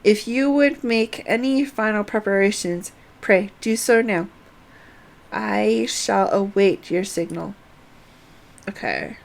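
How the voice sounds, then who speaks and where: Female game streamer, mid-pitched, unhurried, reading quest text aloud with casual asides. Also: creaky.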